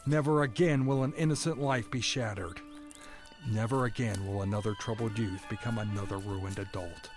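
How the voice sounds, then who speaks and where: Guy playing a haunted escaped convict telling his story in an audio drama, lowish voice, solemn and measured, bit of music behind. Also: steady tone, lightly acted. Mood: anguished, vehement. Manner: solemn, literary, measured phrasing. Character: weary escaped male convict recounting his past, haunted. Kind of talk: first-person dramatic monologue in audio drama.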